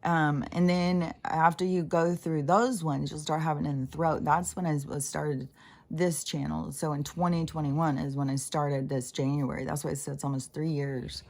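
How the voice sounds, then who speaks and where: A woman's voice: low-pitched, steady tone, normal speed, vocal fry, a little mellow. A woman with a scratchy voice, hosting her own spiritual channel in a loose, rambling monologue that drifts off mid-thought and swears freely.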